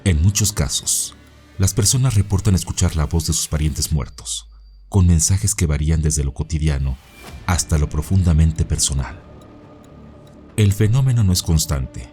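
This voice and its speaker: Male narrator, extremely low voice, for scripted paranormal mystery videos over faint music. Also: normal speed, warm tone.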